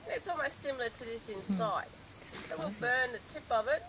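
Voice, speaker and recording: A slightly hoarse Australian woman with a higher-pitched voice, speaking as a park ranger on an outdoor guided walk. Her delivery is unhurried and explanatory, full of ums and restarted sentences. The recording was made outdoors in the bush, with clear natural sound running behind her. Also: expressive, noisy background. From northern Australia.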